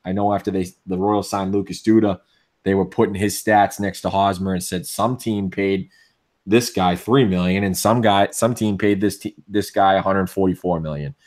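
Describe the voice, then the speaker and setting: A man with a Boston accent co-hosting a casual sports podcast, opinionated and full of restarts, with a voice going hoarse. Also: low-pitched, steady tone, normal speed, darkish tone. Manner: opinionated, many restarts and fillers. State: losing his voice. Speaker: podcast co-host.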